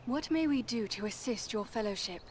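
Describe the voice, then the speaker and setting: An actress playing a composed, noble elf warrior in a fantasy game, speaking formally and with resolve. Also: female voice, on the high side, animated, moderate pace, a little hiss or hum, close to an everyday voice. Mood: resolute. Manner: formal, poised. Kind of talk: dramatic fantasy game acting.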